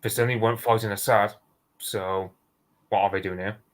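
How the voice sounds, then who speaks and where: A man's voice: on the low side, fairly even intonation, a little fast. A man co-hosting a casual political chat, telling a story with lots of fillers. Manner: anecdotal storytelling, filler-heavy. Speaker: podcast co-host.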